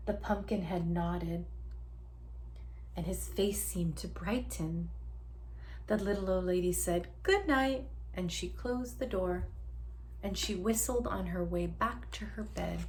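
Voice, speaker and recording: Slow, expressive woman storyteller for children. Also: fairly low pitch, a little hiss or hum, rough recording. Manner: expressive, often hushed, asking listeners questions. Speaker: children's read-aloud storyteller. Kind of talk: interactive storytelling for young children.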